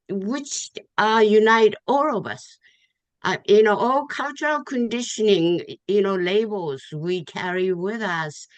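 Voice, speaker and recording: A woman from Japan with a Japanese accent, giving an informal, heartfelt testimonial in a halting way, searching for words and repeating phrases. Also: medium pitch, no room sound. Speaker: Tai Chi student giving thanks at an online gathering. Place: online video call.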